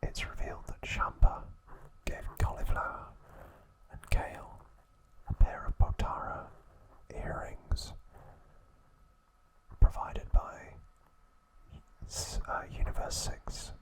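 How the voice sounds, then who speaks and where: A man narrating trivia as an ASMR host, in a slow, hushed, deliberate whisper with pauses between phrases. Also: poor audio.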